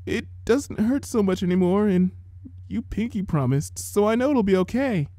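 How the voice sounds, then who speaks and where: Man putting on a high, feeble little filly. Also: lively intonation, dark tone, no echo, clean studio sound. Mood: miserable, weak. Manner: weak, pathetic, slow. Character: sick little filly, younger sister, pitiful and trusting.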